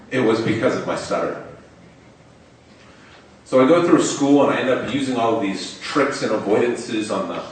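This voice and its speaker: A man's voice: on the low side, slight background noise. A young man telling a personal story on stage. He stutters, openly repeating and stretching the first sounds of words. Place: stage in a large hall. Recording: echoey hall, mic far off.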